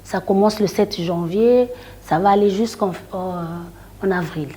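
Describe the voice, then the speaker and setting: Husky middle-aged woman, congolese singer, talks in stops and starts, lots of euh, voice drops off at the ends. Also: mid-range voice, unhurried. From Republic of the Congo. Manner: hesitant, many restarts and fillers, trailing off quietly. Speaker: singer and storyteller, interview guest. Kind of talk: casual interview answers.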